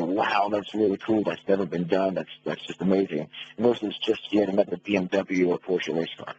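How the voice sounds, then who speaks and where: A middle-aged American man with a very low voice gives a conversational interview. He explains things matter-of-factly over a muffled phone line with some light noise on it. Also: a little mellow. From United States. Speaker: phone-in interview guest, race car builder. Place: phone call to a radio show. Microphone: telephone.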